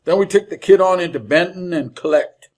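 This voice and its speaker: An actor playing a greedy, folksy wagon driver in an old-time radio western, talking in blunt rustic cowboy speech. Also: male voice, high voice, fairly quick, a little mellow. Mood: scheming, eager. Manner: drawling rustic cowboy grammar, blunt, jovially callous.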